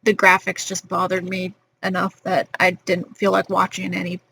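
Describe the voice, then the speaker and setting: A woman's voice: lowish voice, monotone, moderate pace, vocal fry, bright, forceful tone, a little hiss or hum, poor audio. A woman giving casual, informal, rambling commentary as the host of a let's play. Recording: game music under the voice.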